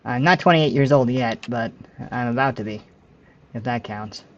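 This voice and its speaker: A man in his late twenties talks with his stream viewers in a loose, rambling way, often stopping to correct himself. His voice sits at an ordinary middle pitch. The audio is slightly dull and lo-fi. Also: normal speed. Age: 20s. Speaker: game streamer. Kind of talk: casual live-stream chat with viewers.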